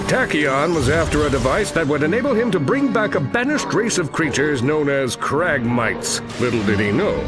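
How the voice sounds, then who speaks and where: An actor playing a pompous, boastful celebrity space hero in an animated video game. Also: male voice, on the high side. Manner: pompous, self-aggrandizing. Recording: music behind the voice.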